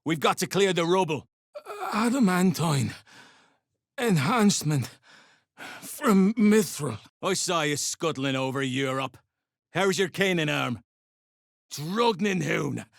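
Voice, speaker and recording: A gruff dwarven slave-driver from a fantasy game, speaking slowly in a fairly high male register. He is curt and coarse, a threatening mercenary, recorded close and dry. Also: animated, dry recording, heavily acted. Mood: gruff, boastful. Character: gruff, foul-mouthed duergar slave-driver and herdmaster. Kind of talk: video game character dialogue.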